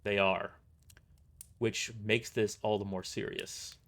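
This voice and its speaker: A man's voice: lowish voice, flat delivery, takes it slowly, creaky, a bit bright, heavily acted. A man playing a weary mercenary boss who gives grave, matter-of-fact briefings in an audio drama. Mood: grave, explanatory.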